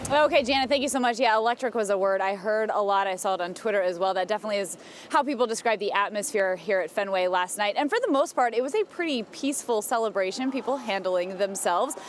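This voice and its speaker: A woman's voice: mid-range voice, fast. An American woman working as a TV reporter, giving live morning news from outdoors with casual banter. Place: outdoors outside a city ballpark. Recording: live outdoor broadcast with background street noise.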